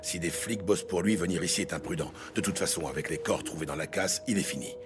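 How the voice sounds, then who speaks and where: An actor playing a gruff, commanding police lieutenant in a French audio drama, curt and coarse in manner. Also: male voice, deep voice, fast talker, loud music behind the voice, lightly acted. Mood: guarded, low.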